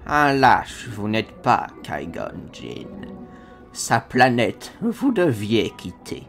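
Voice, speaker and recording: A man voicing an ancient little alien sage with a croaky voice, wise and calm, speaking in halting, inverted phrases in a dramatic comic dub. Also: medium pitch, soft background music, full character voice. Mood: grave, probing. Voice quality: croaky, gravelly. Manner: inverted word order, halting cryptic phrases.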